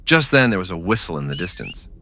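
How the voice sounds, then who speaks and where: A man narrating a scripted western audio drama as a dramatic, measured storyteller. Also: low voice, big pitch swings, gravelly, pushed and bright, a little hiss or hum.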